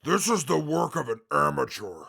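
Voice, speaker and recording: A man playing an arrogant, short-tempered former scout in a post-apocalyptic audio drama, with a gravelly, raspy voice and scornful, formal speech. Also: a little high, very animated, normal speed, sharp tone, full character voice. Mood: scornful, bossy. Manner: haughty, scornful, formal wording.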